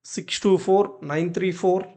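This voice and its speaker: A tutorial presenter narrating step-by-step software instructions in short, clipped commands. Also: medium pitch, fairly even intonation, slow pace.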